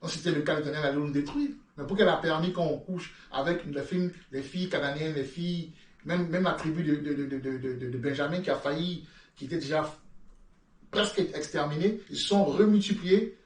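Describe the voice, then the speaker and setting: This is a man from France preaching a sermon in French with a London-tinged accent of his own. He reads scripture, then stumbles over repeated words before climbing into emphatic bursts. His voice sits somewhat high and comes from back in an echoey room, away from the microphone. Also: brisk, distant mic. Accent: French with a London-influenced lilt. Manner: repeats words, stammering restarts, rising emphatic peaks. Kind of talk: sermon with Bible reading.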